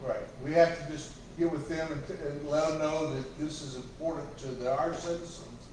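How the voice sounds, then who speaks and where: Male county official, reassuring and agreeable, heard from a distance in a very echoey room with clear hiss. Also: fairly high pitch, moderate pace. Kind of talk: public meeting discussion. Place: county courtroom. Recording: very echoey room, distant mic, steady noise.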